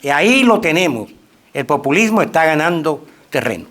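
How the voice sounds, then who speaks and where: A man on a discussion panel argues his point emphatically. His voice sits high for a man, and he stalls on drawn-out hesitations before pressing on. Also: sing-song, fairly bright tone.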